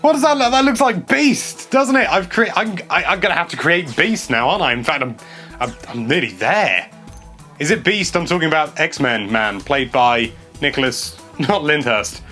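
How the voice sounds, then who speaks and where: A young man hosting a casual gameplay commentary, chatty and excitable, stumbling over his words and laughing a lot. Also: a little high, normal speed, soft background music. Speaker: games journalist host. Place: small indoor room.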